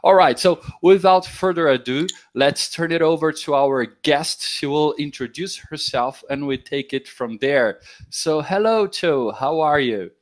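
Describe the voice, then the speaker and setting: A man's voice: on the high side, measured pace. Man, interview host, upbeat, welcoming, video call. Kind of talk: hosting an interview.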